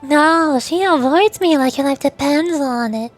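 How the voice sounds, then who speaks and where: A woman voicing a brash, energetic young adventurer from a fantasy game, spirited and bossy. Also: high voice, a little slow, faint music behind. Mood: wry, boastful. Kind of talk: amateur video game dialogue acting.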